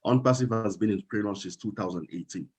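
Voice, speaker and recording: A man's voice: lowish voice, quick pace, breathy edge. A young man from Cameroon speaking as a guest in a casual interview, hesitant, with many fillers and restarts. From Cameroon, Northwest. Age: young adult.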